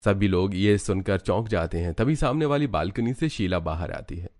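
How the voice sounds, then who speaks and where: A man's voice: deep voice, expressive, normal speed, darkish tone. A man narrating a scripted cartoon story as its storyteller.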